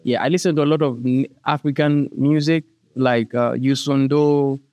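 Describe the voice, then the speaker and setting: Male musician guest, Kenyan accent, clean resonant voice, mid pitch, casual interview talk, dry sound. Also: fairly even intonation, moderate pace, smooth, no echo, highs cut off. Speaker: musician guest, singer and rapper.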